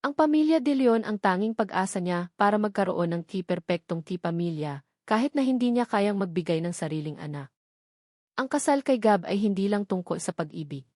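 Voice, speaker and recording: Woman, Filipino accent, mid-pitched, scripted dramatic storytelling, romance narrator, dry recording. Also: expressive, brisk, a little mellow, no room sound.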